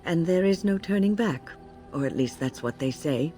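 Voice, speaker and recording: A woman playing an earnest, thoughtful mage in a video game, speaking in a measured, grave and reflective way. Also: sits low, expressive, dark tone, faint music behind. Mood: grave, haunted. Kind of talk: video game dialogue acting.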